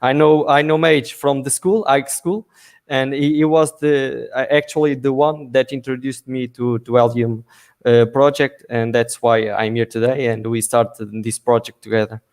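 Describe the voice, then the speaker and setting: A man's voice: mid-range voice, steady tone, moderate pace, a little mellow. A man, a guest answering interview questions over a video call, hesitant and full of fillers. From Portugal. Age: 20s. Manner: hesitant, many fillers and restarts. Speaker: guest, army officer.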